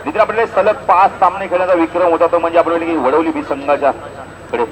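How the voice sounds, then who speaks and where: Higher-voiced Maharashtrian man calling cricket, crowd behind. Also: fairly even intonation, quick pace, low-quality recording. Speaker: cricket commentator and announcer. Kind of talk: live cricket commentary. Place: outdoor cricket ground.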